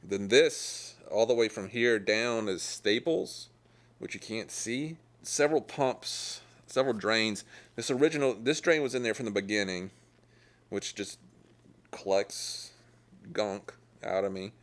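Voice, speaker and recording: A man speaking candidly and plainly to camera about himself, in a halting, conversational way. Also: lowish voice, animated. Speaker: documentary subject, surgery patient. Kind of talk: candid personal talk to camera. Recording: loud music behind the voice.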